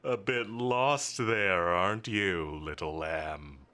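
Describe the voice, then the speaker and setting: A man's voice: sits low, slow, sharp tone, heavily acted. A man playing a smug, menacing villain boss in an audio roleplay, with a theatrical, mocking, condescending delivery. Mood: smug, taunting. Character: smug, menacing male supervillain boss toying with his enemy.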